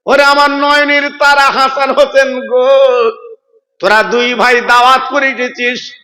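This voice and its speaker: A man preaching a melodic storytelling sermon in an emotive, plaintive, drawn-out chant. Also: very high voice, level tone, sharp tone. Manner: drawn-out, emotive, plaintive chanting delivery with direct appeals to listeners. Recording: hall-like long reverb.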